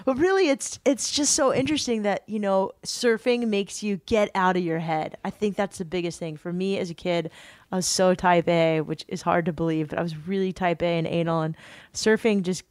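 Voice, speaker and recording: A woman hosting a podcast, chatting casually and telling stories in a lively, animated way. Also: on the low side, moderate pace, no echo. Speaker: podcast host. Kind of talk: casual interview chat.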